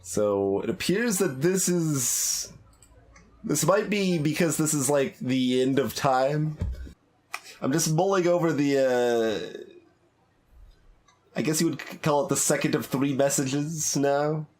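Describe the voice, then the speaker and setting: A man's voice: a little high, takes it slowly, a little mellow. A man doing casual gameplay commentary as a streamer, thinking aloud in a hesitant way with stammers and repeated phrases. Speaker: gaming streamer doing a blind playthrough. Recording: game music behind the voice. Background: video game music.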